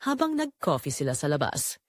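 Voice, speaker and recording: A woman with a Filipino accent narrating a scripted romance story in an expressive, measured way with dramatic pauses. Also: low-pitched, dry recording, clean studio sound.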